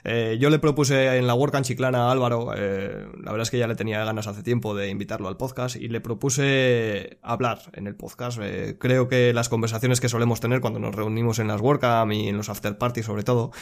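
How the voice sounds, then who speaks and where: A man's voice: mid-range voice, a little fast, few pauses. A man hosting a podcast, talking casually and hesitantly with frequent fillers. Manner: relaxed, hesitant with many fillers and restarts. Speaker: podcast host.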